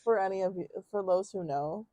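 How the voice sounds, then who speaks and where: A young woman co-hosting a podcast, chatting casually with a friend, slang-heavy and quick to laugh. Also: medium pitch, no room sound. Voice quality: loud projecting voice. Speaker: podcast co-host. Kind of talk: casual chat.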